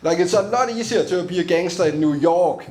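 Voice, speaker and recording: A man's voice: high voice, a little fast, a little mellow. A young man from Denmark with a Danish accent, doing a loose, chatty stand-up set on a club stage and laughing at his own jokes. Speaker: stand-up comedian.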